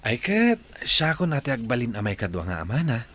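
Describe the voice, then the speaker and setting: A man's voice: mid-range voice, very animated, brisk, fairly bright tone. A man with a Filipino Ilocano accent playing a philandering, evasive husband in a radio comedy drama, sly and easily flustered. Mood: flirtatious, friendly. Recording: music under the voice.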